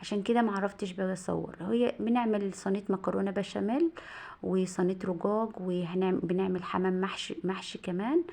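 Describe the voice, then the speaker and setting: Gravelly fast-talking Egyptian woman. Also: medium pitch, steady tone, dark tone. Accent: Egyptian Arabic. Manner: rambling, many fillers and restarts. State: voice a bit hoarse and tired. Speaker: cooking vlogger. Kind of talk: casual chat to viewers.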